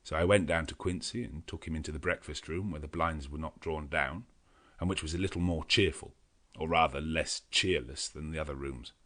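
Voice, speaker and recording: A man narrating an audiobook in a measured, grave storytelling manner. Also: very deep voice, fairly bright tone. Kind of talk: scripted narration.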